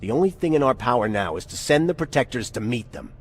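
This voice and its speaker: An actor playing a solemn, resolute resistance commander in a video game, speaking in a deliberate, measured way. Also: male voice, mid-range voice, big pitch swings, background noise, lightly acted. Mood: grave. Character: grave, resolute male resistance commander in a future war. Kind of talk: dramatic video game acting. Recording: game dialogue over loud music.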